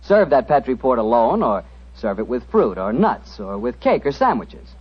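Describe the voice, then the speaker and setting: A man's voice: medium pitch, big pitch swings, moderate pace. An American man announcing in polished 1940s radio style, with emphatic, friendly sponsor patter. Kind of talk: 1940s radio announcing, scripted sales pitch. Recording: dull, rough vintage 1940s radio broadcast.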